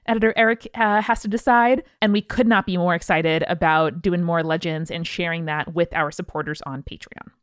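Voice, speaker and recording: A woman's voice: on the low side, steady tone, a little fast, hardly any pauses, no echo, clean studio sound. A woman co-hosting a podcast in a casual, chatty style with announcements for listeners. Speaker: podcast co-host. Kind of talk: casual scripted-feeling podcast chat.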